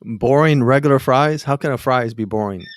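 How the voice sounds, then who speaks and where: A man's voice: medium pitch, normal speed, no room sound. A man co-hosting a podcast in casual chat, playful and teasing. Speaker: podcast co-host.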